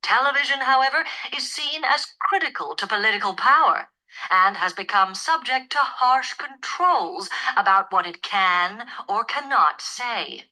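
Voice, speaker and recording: A woman delivering a scripted academic lecture in a measured, formal, explanatory manner. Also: fairly high pitch, expressive, bright, forceful tone, poor audio. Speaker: lecturer in a listening test.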